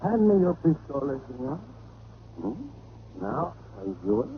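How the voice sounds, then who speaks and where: An actor playing a smug, jovial fat villain with a Spanish accent, politely mocking in an old radio detective drama. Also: male voice, mid-range voice, big pitch swings, narrow, tinny audio. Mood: smugly menacing. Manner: mock-polite, unhurried, chuckling. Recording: noisy, phone-thin vintage radio recording.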